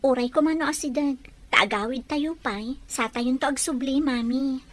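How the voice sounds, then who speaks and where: Woman as a polite grown daughter; high, agreeable voice. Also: normal speed, lightly acted. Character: dutiful grown daughter, patient. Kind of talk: amateur audio drama acting.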